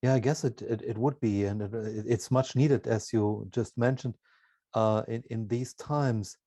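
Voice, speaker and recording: A man with a mellow, relaxed voice asks questions as an interviewer. German is his first language, and he builds sentences haltingly in short deliberate pieces. The sound is dry. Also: fairly low pitch, dry recording. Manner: halting, deliberate, broken phrasing. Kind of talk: conversational interviewing.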